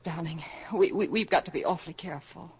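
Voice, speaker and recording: A woman in a 1940s radio drama playing a gentle, anxious mother in love, hesitant and tender. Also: on the low side, fast talker, slight background noise, lightly acted. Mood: anxious, loving. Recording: dull vintage radio recording.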